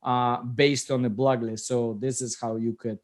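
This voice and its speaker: A man's voice: medium pitch, level tone, a little slow. A middle-aged man with a Russian accent, hosting a casual chat about his app and often searching for words. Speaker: app founder and host. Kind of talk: casual video call chat.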